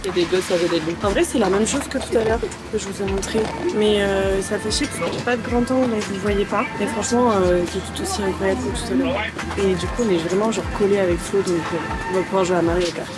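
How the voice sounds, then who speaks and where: Woman vlogger in a plane seat, gushing and slangy, loud music underneath. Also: medium pitch, fast talker. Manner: excitable, exclamatory, slangy. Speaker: travel vlogger. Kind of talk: excited casual vlog narration. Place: airplane cabin. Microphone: phone camera.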